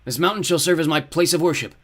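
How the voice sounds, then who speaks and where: Storybook villain: commanding male fire demon, booming, imperious, menacing, acted by a male reader. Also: fast talker, a bit bright. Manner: grandiose, imperious.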